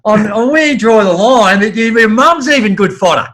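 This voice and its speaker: A man's voice: high-pitched, lively intonation, moderate pace, fairly bright tone. A man co-hosting a casual chat show, laughing along and asking the guest leading questions. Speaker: podcast co-host.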